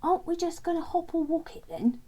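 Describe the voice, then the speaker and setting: A woman's voice: very high voice, brisk, dark tone, voice pushed up. A woman voicing a helpful, frank male animal friend in a children's storybook, plain-spoken and questioning. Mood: doubtful.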